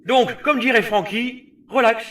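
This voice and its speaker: A man's voice: very high-pitched, measured pace, a bit bright. A man voicing a whiny, effeminate young hero knight in a comic anime parody dub, fussy and melodramatic. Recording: slightly distant, faint music behind.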